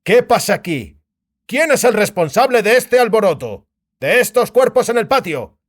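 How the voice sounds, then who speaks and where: A man playing a barking, high-pitched imperial officer. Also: big pitch swings, moderate pace. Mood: angry command. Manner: barking, commanding. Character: bearded, threatening imperial cavalry commander. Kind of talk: dramatized fantasy audiobook dialogue.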